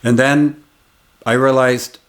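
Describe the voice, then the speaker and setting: A man's voice: medium pitch, flat delivery. A man in his sixties, a comedian hosting his channel and casually telling a rambling, reminiscing story of his early days. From United States. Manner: rambling, reminiscing, unhurried anecdotes.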